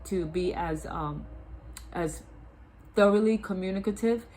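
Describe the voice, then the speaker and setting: A woman's voice: mid-range voice, measured pace, darkish tone, slight background noise, rough recording. A woman with a cold and a blocked nose, giving a tarot reading. She often repeats and restarts her words and keeps checking whether the listener understands. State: stuffed up from a cold. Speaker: tarot reader.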